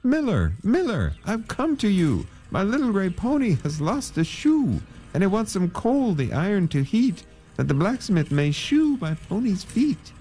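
A man's voice: sits high, huge pitch swings, moderate pace, warm tone, soft background music, voice pushed up. A man voicing a worried, pleading pony owner from a children's storybook, in sing-song rhyme. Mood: urgent pleading. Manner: sing-song rhyming pleas.

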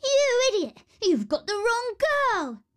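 A woman's voice: fairly high pitch, animated, slow pace, darkish tone. A woman voicing a horrid, spoiled young stepsister in a storybook tale, with a haughty, boastful manner. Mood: outraged.

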